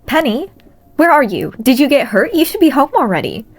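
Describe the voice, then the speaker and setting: Woman doing a fussy protective aunt in a story, voice goes up high, lots of questions. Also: very animated, a little fast. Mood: worried, suspicious. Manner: fussing, questioning. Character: protective, inquisitive aunt of a teenage girl.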